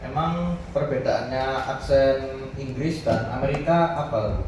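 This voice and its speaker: A man's voice: mid-range voice, measured pace, a little mellow. A teenage boy co-hosting a school podcast, following up with curious questions. He sounds distant in a very echoey room. A noise bed runs beneath him. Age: teens. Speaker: student podcast co-host. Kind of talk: casual interview hosting.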